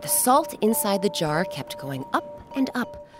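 A woman narrating a scripted children's story as host, with dramatic, expressive, deliberate phrasing. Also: medium pitch. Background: music.

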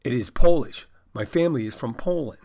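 A man's voice: mid-range voice, lively intonation, fairly quick, gravelly, warm tone. A man voicing a naive fourteen-year-old Polish expat boy, reading out stilted, overly formal text messages. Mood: earnest deadpan.

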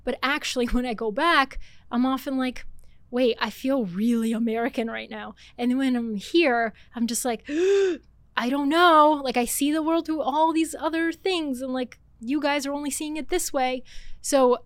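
Lively, higher-voiced woman guest. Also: dry recording. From Bulgaria. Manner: animated, fast-talking, laughs often. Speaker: guest author. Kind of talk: casual podcast conversation.

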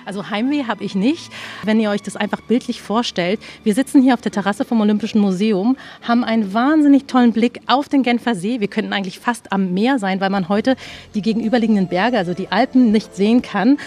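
A woman's voice: mid-range voice, brisk, a little mellow. A middle-aged woman from Berlin working in tourism, chatting eagerly in an interview on an outdoor terrace. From Berlin, Germany. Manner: chatty, enthusiastic, many fillers. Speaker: tourism office employee. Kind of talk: casual interview.

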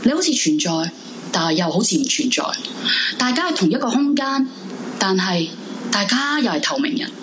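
A woman's voice: mid-range voice, sing-song, normal speed, noisy background, natural voice. An actress playing a distraught, clinging young woman in a radio drama, in Hong Kong Cantonese, with a melodramatic delivery. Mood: brooding, bitter. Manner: pleading, melodramatic, shifting between hushed monologue and frantic cries. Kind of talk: Cantonese supernatural radio drama, acted live with first-person narration. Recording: loud music behind the voice. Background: music.

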